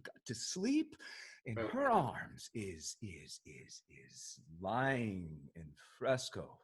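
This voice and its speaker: A man's voice: fairly low pitch, huge pitch swings, extremely slow, husky, exaggerated voice. An actor playing a roguish, lustful English sea captain in a Restoration comedy, savouring his words theatrically. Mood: swooning, lustful. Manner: theatrical, lingering, savouring delivery with exclamations. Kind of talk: Restoration comedy stage acting.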